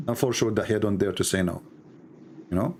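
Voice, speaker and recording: A man's voice: on the low side, quick pace, a little mellow, slight background noise. A man with an Arabic accent hosting a live call-in debate, insistent and often asking for agreement. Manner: insistent, repeats points, asks for agreement. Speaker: show host.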